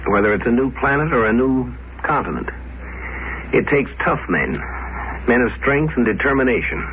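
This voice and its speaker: A man delivers scripted narration as an old-time radio host. His voice is middling to low and his phrasing grave and deliberate. The sound is muffled, with some background noise. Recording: dull, noisy old 1950s radio recording.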